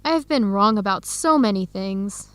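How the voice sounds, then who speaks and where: A woman playing an earnest, heartbroken young American researcher in a radio drama, speaking clearly and conversationally. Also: mid-range voice, lively intonation, moderate pace, a bit bright, dry recording, close to an everyday voice. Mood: disappointed, reflective. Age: young adult. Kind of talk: scripted radio drama dialogue.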